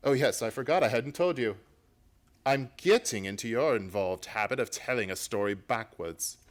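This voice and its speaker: Man acting as gentleman detective, mid-pitched, formal, wry, self-assured, old-time radio drama. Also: lively intonation, normal speed, close to an everyday voice. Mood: brisk, explanatory.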